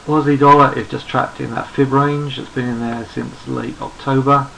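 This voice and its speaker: Man, hoarse, gravelly, think-aloud chart commentary, unhurried. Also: medium pitch, little pitch movement, a bit bright, slight background noise, low-quality recording. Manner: thinks aloud, unhurried, repeats words while working through charts. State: hoarse, losing his voice. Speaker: trading analyst. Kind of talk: screen-recorded market chart commentary.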